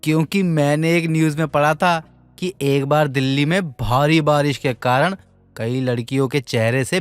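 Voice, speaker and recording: Man acting a young male student, average pitch, mocking, slangy, blustering, cartoon moral story dialogue. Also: no room sound, lightly acted. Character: cheeky, teasing male college student.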